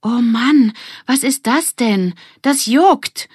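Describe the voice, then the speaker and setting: A woman voicing an excitable, indignant girl in a children's story, exclaiming and complaining. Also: on the high side, takes it slowly, slightly rough, no room sound, clean studio sound, pitched above the performer's own voice, heavily acted. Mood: alarmed.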